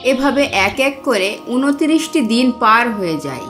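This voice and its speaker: Woman, scripted story narration, moderately high pitch, prominent background music. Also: sing-song, normal speed.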